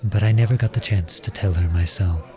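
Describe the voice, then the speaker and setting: A man playing an earnest, lovestruck outlawed prince turned soldier in an audio roleplay drama, speaking softly and with measured pauses. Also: sits low, a crowd in the background, lightly acted. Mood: tender, wistful.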